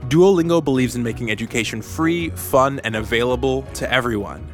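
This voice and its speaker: A man hosting a podcast, reading a scripted story in a measured, clear way. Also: medium pitch, soft background music. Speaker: podcast host. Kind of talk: scripted narration.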